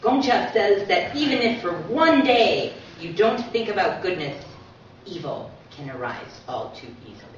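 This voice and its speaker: A woman's voice: medium pitch, sing-song, moderate pace. A woman presenting to an audience in a conversational lecture style, with small asides and read-out sayings. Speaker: guest speaker presenting a book. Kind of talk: informal public lecture with read-out aphorisms. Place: echoing talk room at a community centre. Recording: echoey hall from far off, muffled, noisy.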